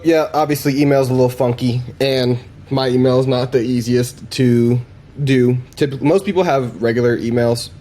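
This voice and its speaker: A man's voice: medium pitch, warm tone. A man chatting informally as he presents and explains a demo, conversational and unscripted. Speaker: presenter demonstrating a voice AI. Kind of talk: casual chat, explaining a demo.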